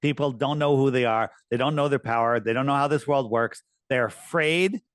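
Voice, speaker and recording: A man speaking as a podcast guest, earnest and emphatic, his voice worn and going hoarse. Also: moderate pace, no room sound, clean studio sound. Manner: earnest, emphatic, rising pitch on key points. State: losing his voice. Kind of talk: conversational interview talk.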